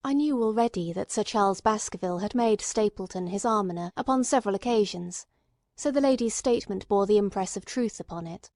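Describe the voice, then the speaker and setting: A woman's voice: medium pitch, a little fast, darkish tone, no echo, studio quality. A woman reading scripted literary narration as an audiobook narrator.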